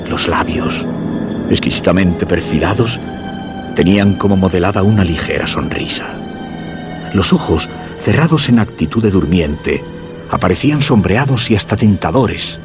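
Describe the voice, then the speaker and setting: A weakened husband recounts horrors in a deep Castilian Spanish voice, grave and measured. It is radio suspense narration, full of dread. The sound is dull, with clear music underneath. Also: male voice. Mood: morbid fascination. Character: weakened, dread-stricken husband telling his macabre story.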